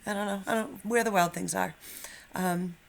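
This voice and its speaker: A woman's voice: mid-range voice, fairly even intonation, moderate pace, creaky. A middle-aged woman speaking as a teacher to young pupils, informal and encouraging, in a children's read-aloud style. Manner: informal, encouraging, slightly nervous. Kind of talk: casual chat and expressive children's storybook read-aloud.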